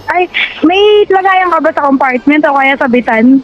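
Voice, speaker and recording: A Filipino woman in casual banter as a passenger, sassy and joking in a deadpan way. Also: high-pitched, moderate pace. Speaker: motorcycle taxi passenger. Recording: dull lo-fi intercom sound, music behind. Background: music.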